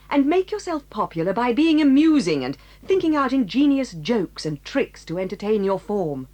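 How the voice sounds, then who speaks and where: An actress playing a stern, dignified headmistress who reproves pupils in a measured way, in a 1980s audio drama. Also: female voice, mid-range voice, a little mellow.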